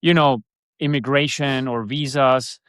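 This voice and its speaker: A man with a Swiss German accent chats as a podcast guest, circling his points with restarts and fillers. His voice sits in a middle pitch range. The sound is close and dry, with no room around it. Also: steady tone, a little slow, fairly bright tone, no room sound. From Switzerland. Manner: rambling, many restarts and fillers. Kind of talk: casual interview chat.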